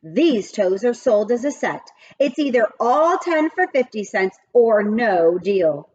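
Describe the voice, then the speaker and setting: A woman's voice: a little high, big pitch swings, takes it slowly, darkish tone, muffled recording, full character voice. A woman reading a children's storybook aloud, voicing a stubborn, earnest young schoolgirl who protests emphatically. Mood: indignant.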